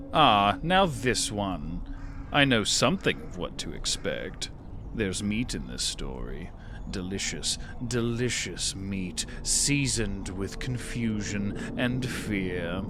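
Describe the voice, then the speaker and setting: A man's voice: medium pitch, noisy background. A man voicing a sly, theatrical cat who guides listeners through grim tales, savoring his words with condescension. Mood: relishing, sinister. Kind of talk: scripted audio fiction narration.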